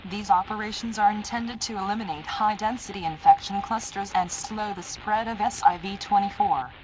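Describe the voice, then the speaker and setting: A woman's voice: medium pitch, steady tone, moderate pace. A woman reading a scripted emergency alert as an announcer, in a formal, impersonal, automated-sounding delivery. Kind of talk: scripted emergency broadcast narration. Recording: noisy analog horror alert broadcast.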